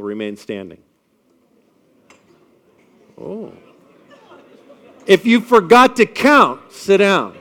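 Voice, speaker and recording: An energetic male speaker with a big projected voice that sits a bit high for a man. He rallies a live audience on a microphone with upbeat, chatty energy and keeps checking that they are with him. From Midwestern United States. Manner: energetic, upbeat crowd-rallying with frequent "all right?" checks. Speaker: event speaker leading the group. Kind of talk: live talk leading an audience icebreaker. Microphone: amplified on a microphone.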